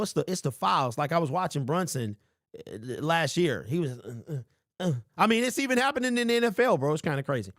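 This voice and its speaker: A man in his forties hosting a casual sports talk show, reading viewer comments and rambling with lively emphasis. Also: a little high, no room sound, studio quality. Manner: animated, rambling, calls listeners bro. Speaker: sports podcast host.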